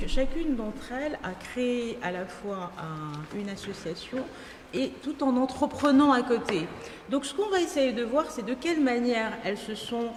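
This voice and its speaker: Lively woman moderator in an echoey hall. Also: on the high side. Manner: guiding, lively, rephrases her questions. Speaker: moderator, interviewer. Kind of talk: event moderating and interviewing. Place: large event hall. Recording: echoey hall, faint room noise.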